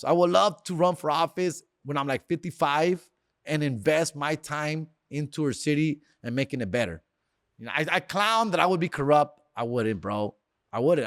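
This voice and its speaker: A man's voice: fairly high pitch, no room sound, studio quality. A man, hosting a podcast in a casual, slangy monologue that mixes in Spanish phrases. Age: 40s. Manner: slangy, drops bro, dog and fool, mixes in Spanish phrases. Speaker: podcast host.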